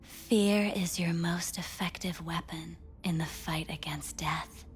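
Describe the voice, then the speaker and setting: An actress playing a calm, enigmatic woman counsellor in a video game, speaking in a breathy, soothing, measured way. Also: fairly low pitch. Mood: hushed reassurance. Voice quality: breathy, whispery. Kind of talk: cinematic video game acting. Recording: music playing behind the voice. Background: music.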